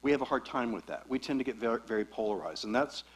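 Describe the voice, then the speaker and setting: A man speaking as a guest psychologist at a church talk, explaining concepts clearly and patiently. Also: mid-range voice, lively intonation, fast, low-quality recording.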